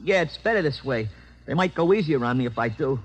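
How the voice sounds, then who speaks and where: A man playing an anxious, hot-headed young hoodlum in an old radio crime drama, stammering and slangy, with an American accent and a rough voice. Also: mid-range voice, lively intonation, fairly quick, poor audio. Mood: defiant. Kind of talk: 1940s-50s radio crime drama acting.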